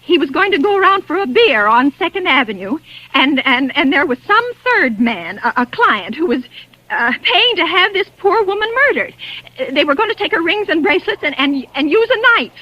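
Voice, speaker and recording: A woman's voice: high voice, big pitch swings, normal speed, bright, forceful tone, lo-fi sound, full character voice. An actress playing a nervous, demanding wealthy invalid woman in a 1940s radio drama, stammering, fretful and imperious. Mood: agitated.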